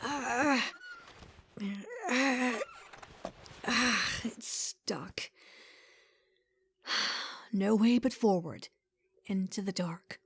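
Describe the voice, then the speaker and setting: A woman playing a wary young woman exploring a dark house in an audio drama, nervous but wry. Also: fairly high pitch, very slow, vocal fry, long pauses, slight background noise, heavily acted. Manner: nervous, wry, self-talking.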